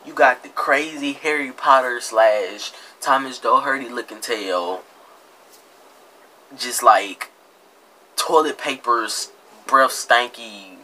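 A man's voice: medium pitch, pushed and bright, slight background noise, low-quality recording. A young American man giving casual, slangy comedic commentary, mocking and exasperated, often repeating himself. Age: young adult. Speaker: reaction video host. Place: indoor room with green screen.

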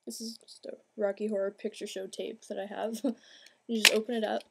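A woman's voice: medium pitch, flat delivery, a little fast. A young adult woman giving an informal, plain-spoken step-by-step craft demonstration, recorded indoors at home. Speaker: craft tutorial host. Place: small room at home, indoors. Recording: clear background noise, amateur home video.

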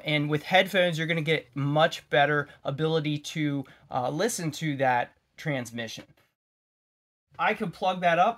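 A man's voice: a little high, fairly bright tone. A man giving a casual, conversational hands-on tech tutorial, explaining as he goes. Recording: clearly noisy home-video recording.

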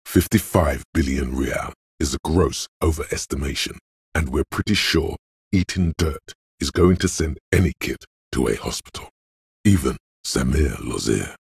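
A man voicing a cool, sardonic inner voice of logic in a video game, with measured, deliberate, dry and analytical narration. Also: extremely low pitch, creaky, breathy edge, no room sound, exaggerated voice.

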